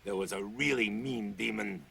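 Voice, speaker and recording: A man voicing a gruff, lecherous demon hunter cursed into a wooden dummy, a world-weary wisecracker in a TV drama. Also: on the low side, sharp tone, slight background noise, exaggerated voice. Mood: wry, cocky. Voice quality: gravelly. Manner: world-weary wisecracking tough guy, drawn-out words. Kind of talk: television drama acting. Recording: TV soundtrack with prominent music. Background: music.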